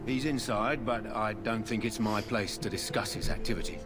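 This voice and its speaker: A man's voice: fairly low pitch, moderate pace, music in the background, natural voice. A man voicing a loyal, guarded soldier on watch in a fantasy game, speaking in firm, opinionated statements. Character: loyal male camp guard, admiring and guarded. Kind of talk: scripted fantasy game dialogue.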